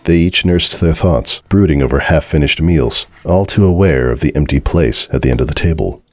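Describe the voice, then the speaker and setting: Casting: male story narrator, extremely deep voice, dramatic scripted read, close dry sound. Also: normal speed, dry recording.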